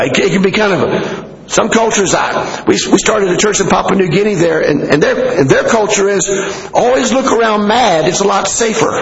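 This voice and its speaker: A middle-aged American man preaching a sermon in an animated, conversational way. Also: sits high, creaky. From United States. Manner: animated, conversational, acting out asides to listeners. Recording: echoey hall with long reverb, muffled.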